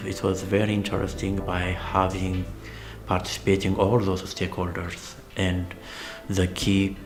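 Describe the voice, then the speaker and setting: A man's voice: sits low, flat delivery, a little slow. A man explaining a program in a documentary interview. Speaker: project team member explaining a radio drama program. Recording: music bed under interview. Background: music.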